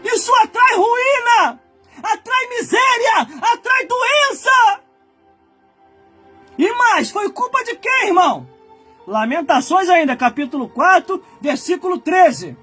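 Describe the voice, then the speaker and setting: A man in his forties preaching with fire, his voice sitting very high as he climbs into shouted warnings and then sinks to low, muttered asides. Soft music plays faintly behind him. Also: expressive, slow. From Brazil. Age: 40s. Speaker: pastor. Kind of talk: fiery Pentecostal-style sermon.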